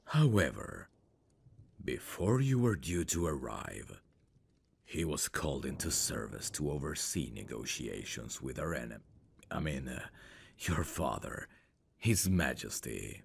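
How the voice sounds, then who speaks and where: A man playing a stern, haughty eldest prince in a fantasy roleplay audio, speaking formally and condescendingly in a gravelly, breathy voice. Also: very deep voice, very animated, a little hiss or hum, full character voice. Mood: hesitant, guarded. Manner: formal, measured, condescending, commanding.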